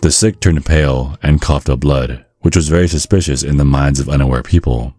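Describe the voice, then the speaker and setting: A man reading scripted ASMR narration in a measured, storytelling manner. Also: extremely low pitch, warm tone, no room sound, faint music behind.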